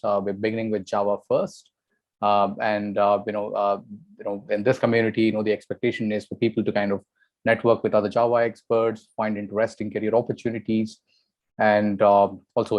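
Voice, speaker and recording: A man's voice: lowish voice, darkish tone, no room sound, highs cut off. A man hosting a webinar on a video call, introducing a guest hesitantly with many fillers. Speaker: webinar host.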